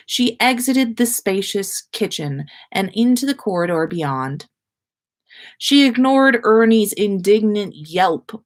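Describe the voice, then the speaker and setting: Female narrator, mid-range voice, reading fiction aloud with measured expression, storybook style. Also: medium pitch. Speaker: podcast host reading listener fan fiction.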